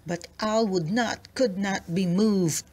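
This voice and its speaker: A woman narrating a children's picture book aloud in an expressive storytelling manner. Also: medium pitch, slow.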